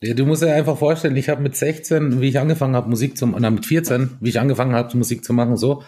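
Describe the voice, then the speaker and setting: A man from East Tyrol, a band musician, talking casually in an interview, relaxed and chatty with many fillers and restarts. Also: mid-range voice, quick pace. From East Tyrol, Austria.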